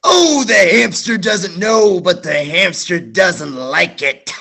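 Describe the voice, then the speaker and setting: A young actor playing an overexcited soldier in a stage radio play, shouting and whooping brashly. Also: medium pitch, lively intonation, takes it slowly, vocal fry, breathy edge, heavily acted. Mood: rowdy.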